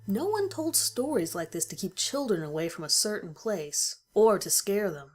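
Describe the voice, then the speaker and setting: A woman's voice: mid-range voice, sing-song, moderate pace. A woman reading a first-person horror story as a dramatic scripted narration. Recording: music behind the voice. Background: music.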